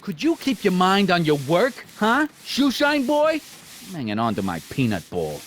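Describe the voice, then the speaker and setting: A man's voice: sits high, huge pitch swings, normal speed, a little hiss or hum. An actor playing a brash, bullying American gangster boss in a video game, with sarcastic, domineering tough-guy talk and old-time slang. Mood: bossy, impatient.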